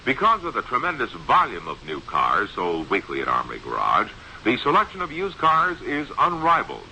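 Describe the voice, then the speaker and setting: A man's voice: mid-range voice, huge pitch swings, moderate pace, bright, forceful tone, muffled recording. A man with an American accent reading a scripted 1960s radio advertisement in a polished, salesmanlike way. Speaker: radio commercial announcer. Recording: hissy vintage radio recording.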